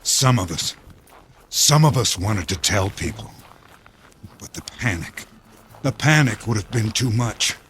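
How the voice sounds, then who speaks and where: An actor playing Jerry, a weary, resigned insider explaining the end of the world in a grave radio-drama manner. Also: male voice, fairly low pitch, lively intonation, a little slow, long pauses, a bit bright, a little hiss or hum. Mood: grave, regretful. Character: Jerry, weary male think-tank insider facing doomsday, resigned.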